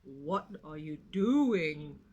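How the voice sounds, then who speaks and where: A woman's voice: deep voice, expressive, extremely slow, darkish tone, a little hiss or hum, voice pushed down, full character voice. Woman doing a blunt, grumpy cow. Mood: dismissive. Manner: blunt, dismissive, ends with a moo. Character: grumpy cow, flat and unhelpful.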